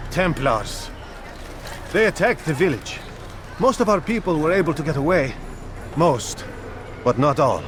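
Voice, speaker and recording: An actor playing an earnest assassin comrade in a video game, giving urgent instructions. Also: male voice, on the high side, expressive, measured pace, pauses often, a bit bright, a little hiss or hum. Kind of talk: video game cutscene acting.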